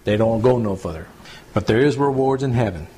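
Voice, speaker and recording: Mellow, husky pastor teaching. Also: male voice, medium pitch, animated, brisk, poor audio. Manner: conversational, repeats points, addresses group as y'all. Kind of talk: home church Bible teaching. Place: small home gathering, recorded to CD.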